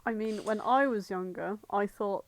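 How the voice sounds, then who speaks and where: A woman's voice: medium pitch, moderate pace. A woman co-hosting a podcast in casual comedic chat, with a playful, joking manner. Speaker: podcast co-host.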